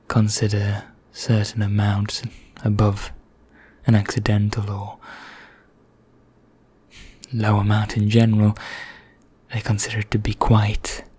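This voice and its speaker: A man acting a slow, husky vampire. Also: lowish voice, darkish tone. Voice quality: husky, breathy, at times near a whisper. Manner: slow, measured, formal, pompous, with deliberate pauses. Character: vain, condescending male vampire elder, calmly sinister. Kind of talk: ASMR roleplay monologue.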